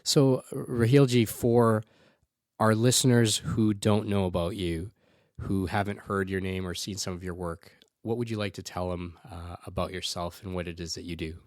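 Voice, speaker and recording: A man's voice: deep voice, fairly even intonation, moderate pace, a little mellow. A man hosting a podcast, introducing his guest and asking questions with enthusiasm and a few stumbles. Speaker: podcast host.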